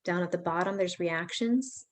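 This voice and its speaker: A woman's voice: lowish voice, normal speed. A woman hosting a virtual parent information session, talking in a friendly, chatty, reassuring way over a video call. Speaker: pre-college program staff host.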